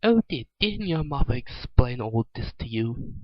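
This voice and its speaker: A man's voice: mid-range voice, very animated, a little slow, no room sound, highs cut off. A man voicing a kindly, fussing, motherly rabbit woman in a story reading. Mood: reassuring.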